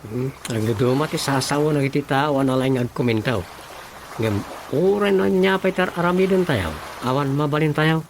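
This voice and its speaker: A man's voice: a little high, moderate pace, a little hiss or hum, natural voice. A man with an Ilocano accent playing a folksy, chatty village elder in a radio comedy-drama. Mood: concerned, chatty. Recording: music behind the voice.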